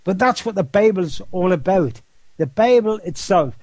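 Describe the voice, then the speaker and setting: A man's voice: high-pitched, lively intonation, normal speed, a little mellow, no echo. An older man with a Geordie accent, a guest in an interview, talking in a rambling way with repeated words and rhetorical questions. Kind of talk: casual phone-style interview talk.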